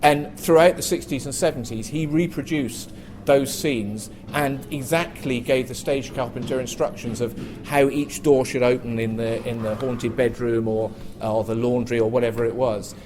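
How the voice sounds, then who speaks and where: A man's voice: mid-range voice, steady tone, normal speed, noisy background. A man speaking as a panelist, telling anecdotes in a live panel talk at a theatre.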